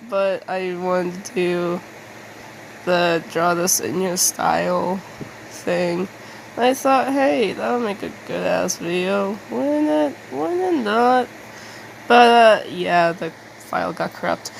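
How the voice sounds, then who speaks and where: A woman talks through a casual, rambling vlog at an unhurried pace. Her mid-pitched voice often drops into creaky fry, and she speaks haltingly, putting herself down with some mild swearing. A faint hum of room noise sits behind her. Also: low-quality recording. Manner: halting, self-deprecating, mildly sweary. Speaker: artist making videos.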